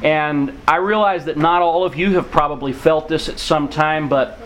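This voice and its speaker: A man's voice: on the high side, normal speed, fairly bright tone, slight background noise, rough recording. A man who grew up in Mexico, preaching an earnest, encouraging sermon to young people and reading scripture aloud. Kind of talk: sermon to missionary kids.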